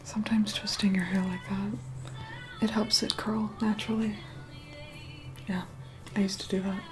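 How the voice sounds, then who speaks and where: A woman playing an aloof, reluctantly caring vampire woman in an ASMR roleplay, soft-spoken and breathy, often whispering, slow and condescending. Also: mid-range voice, little pitch movement, close to an everyday voice. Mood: grudgingly fond. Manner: slow, condescending, grudgingly kind. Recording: loud music behind the voice. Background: music.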